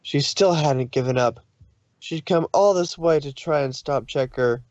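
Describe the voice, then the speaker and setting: A man's voice: on the high side, measured pace, a little mellow, no room sound, lo-fi sound. A man reading scripted story narration in a dramatic style.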